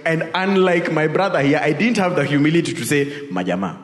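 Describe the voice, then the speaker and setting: A man's voice: fairly high pitch, animated, fairly quick. A man with a Kenyan accent preaching a conversational sermon, telling personal stories in an informal way. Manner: informal, peppered with tag questions and Swahili asides. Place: large reverberant indoor hall. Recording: echoey hall with long reverb.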